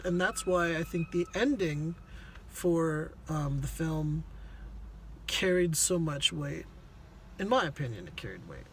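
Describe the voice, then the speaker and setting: A man's voice: fairly high pitch, slight background noise. A man giving a casual conversational movie review, hesitant and rambling as he searches for words. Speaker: film reviewer on a video channel.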